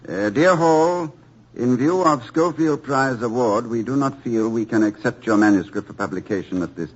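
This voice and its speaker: A gentle, middle-aged academic husband in a 1950s American radio play. His voice is lowish and husky, with wry, halting, well-turned phrases. It sounds like a dull old broadcast recording. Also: full character voice. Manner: hesitant, wry, articulate. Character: gentle, rueful middle-aged college president, devoted husband. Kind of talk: 1950s American radio comedy-drama acting.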